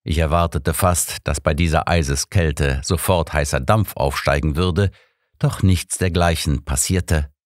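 A man narrating a scripted audiobook story in the first person, expressive and measured. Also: rumbling low, no room sound, clean studio sound.

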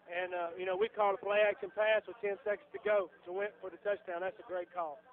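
Quick, high-voiced football coach, lo-fi. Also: male voice, flat delivery. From Georgia, USA. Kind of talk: post-game radio interview. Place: locker room. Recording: muffled lo-fi radio broadcast recording.

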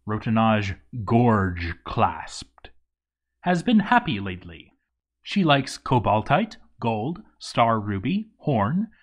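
A man giving an expressive scripted reading of a game story, with wry, deadpan asides. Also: mid-range voice. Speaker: let's-read narrator.

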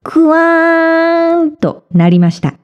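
A golden Buddha statue in a storybook tale, sounded out by a woman, intoning ringing words. The delivery is high and very drawn out. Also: big pitch swings, slow pace, dull audio, heavily acted. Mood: resonant ringing.

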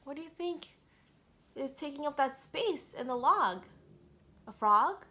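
A woman's voice: high-pitched, a little slow. A woman in her thirties reading a picture book aloud interactively, putting questions straight to young children. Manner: animated, questioning, addresses the child directly. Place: small quiet room at home.

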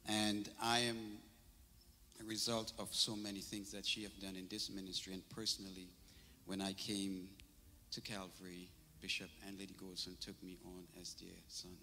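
A middle-aged man born in Trinidad giving a memorial tribute at a church service, speaking into a handheld mic, telling warm stories. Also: low voice, normal speed. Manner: warm storytelling, grows animated and laughing. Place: large church sanctuary. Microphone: handheld microphone. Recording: reverberant church hall, faint noise.

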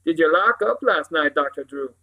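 A man's voice: fairly high pitch, animated, normal speed, bright, forceful tone, low-quality recording. A man voicing a middle-aged village police sergeant in an audiobook, folksy and confident, dropping words as he talks. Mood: chatty. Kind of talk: audiobook character voice.

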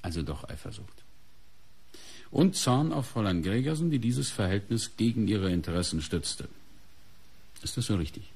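A police inspector in a radio crime drama, pressing his questions with stubborn insistence. His voice is low and husky, and the pitch swings widely as he probes. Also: animated, normal speed, pauses often, a little mellow. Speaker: actor in a radio crime play. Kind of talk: German radio play acting.

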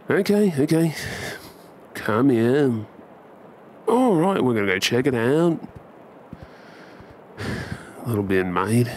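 Man acting as affectionate Australian husband and dad, unhurried pace, intimate ASMR role play, coaxing, playful, noticeable background noise. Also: medium pitch, a little mellow. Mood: weary, soothing.

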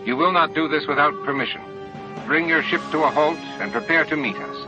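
A man's voice: fairly high pitch, brisk, pitched above the performer's own voice, lightly acted. A man voicing a blunt, combative alien warship commander in an audiobook, barking clipped orders. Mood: stern. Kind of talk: audiobook character acting. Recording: dull lo-fi audiobook tape with music.

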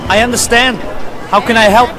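A man's voice: extremely high pitch, fairly even intonation, brisk. An actor playing a nervous male market vendor in a video game. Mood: anxious. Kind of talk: video game dialogue acting. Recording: game audio with music and market crowd.

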